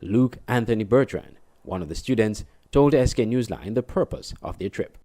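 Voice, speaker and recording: Dark, low-voiced man reporting news. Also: lively intonation, moderate pace. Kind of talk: scripted news report narration.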